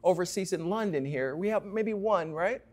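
A man's voice: high-pitched, normal speed. Joking Ghanaian man hosting a talk show. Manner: joking, teasing, lively banter. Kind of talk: casual playful interview. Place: small indoor TV studio set.

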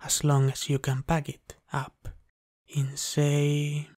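A man's voice: a little high, completely flat delivery, takes it slowly, a little mellow, dry recording, clean studio sound. A man playing a possessive, dominant vampire captor in an ASMR roleplay, speaking in a menacing, condescending half-whisper. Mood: condescending, threatening. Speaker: ASMR voice actor.

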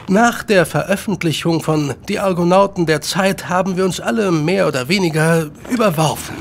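High-voiced, wordy gentleman writer. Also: creaky, a little hiss or hum. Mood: chatty. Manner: wordy, genteel, formal. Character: eager, talkative gentleman writer in Victorian London. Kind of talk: German radio drama acting.

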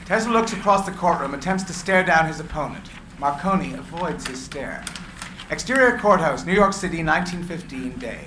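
A man's voice: a little high, lively intonation. A man narrating stage directions at a live screenplay reading. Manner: brisk, matter-of-fact. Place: gallery room with seated audience. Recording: echoey room, clear hiss.

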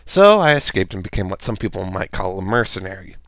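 A man's voice: sits low, sing-song, a little fast, a bit bright, no echo, clean studio sound, heavily acted. A man voicing a cocky, irreverent mercenary antihero with a sarcastic, playful, taunting manner, as in a character voice demo. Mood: boastful, sardonic. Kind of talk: amateur character voice acting demo.

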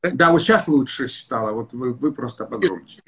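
A man in his sixties, a pediatrician hosting a live stream from his home study, talking in a warm, effusive, enthusiastic way. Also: medium pitch, expressive, normal speed. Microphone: webcam or phone on a video call.

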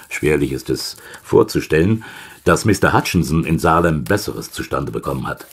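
A man playing a sinister, centuries-old occultist in an audio drama, speaking in formal, archaic, deliberate diction. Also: rumbling low, animated, breathy edge, exaggerated voice. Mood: sly, conspiratorial. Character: sinister centuries-old male occultist dictating a secret letter. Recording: music behind the voice.

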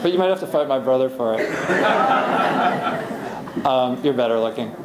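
A man's voice: mid-range voice, lively intonation, moderate pace, a loud crowd. A middle-aged man, an author at a podium, answering audience questions in a rambling, conversational way with many restarts. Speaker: author at a library talk. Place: library event room, indoors. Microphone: podium microphone, far camera. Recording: roomy hall echo, faint hiss.

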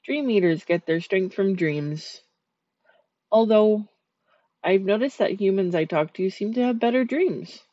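Sweet, shy dream eater spirit, a woman voicing her, soft soothing ASMR delivery, dry close mic. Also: mid-range voice, a little slow, no echo, lo-fi sound, lightly acted. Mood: gentle, soothing. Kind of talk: ASMR sleep-aid roleplay.

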